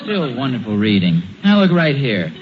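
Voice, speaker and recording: A man's voice: medium pitch, sing-song, moderate pace, lightly acted. An American man playing a bumbling, stubborn suburban dad who hems and haws through a 1940s radio comedy. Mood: defensive enthusiasm. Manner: hesitant, hemming and hawing. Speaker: sitcom lead. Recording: muffled, noisy vintage radio broadcast.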